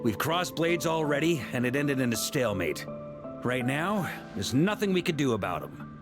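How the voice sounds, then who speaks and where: A seasoned knight leader of an expedition. He talks strategy with composed, dry confidence and an animated pitch. Game music runs behind him. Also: moderate pace. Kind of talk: video game voice acting.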